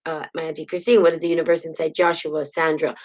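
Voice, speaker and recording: Low-voiced woman hosting a chatty live stream. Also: level tone, quick pace, a little mellow. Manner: rambling and chatty, greets viewers by name. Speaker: host of a live spiritual talk show. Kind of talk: casual spiritual teaching, live stream. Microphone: built-in device mic instead of her usual mic.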